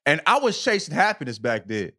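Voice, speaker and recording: A man's voice: fairly high pitch, sing-song, fairly quick, pushed and bright. An American man co-hosting a podcast with casual, slangy, profane and emphatic banter. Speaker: podcast co-host.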